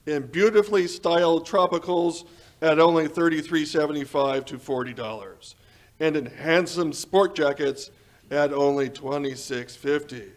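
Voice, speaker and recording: A man announcing in the style of a 1940s radio sponsor spot, with polished, salesmanlike delivery. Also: a little high. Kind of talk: 1940s radio advertisement and narration.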